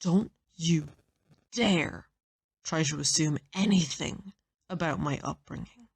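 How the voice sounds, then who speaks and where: A woman's voice: deep voice, takes it slowly, gravelly, husky, heavily acted. A woman playing a fierce, vengeful young fighter in an audio drama, speaking in a hostile, cutting, threatening way. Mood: seething. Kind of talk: audio roleplay drama acting.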